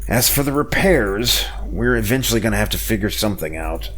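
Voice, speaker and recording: A man's voice: fairly low pitch, animated, a little fast, fairly bright tone. A man playing a wry, beleaguered smuggler captain in a sci-fi audio drama, exasperated and cajoling. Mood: resigned, wry.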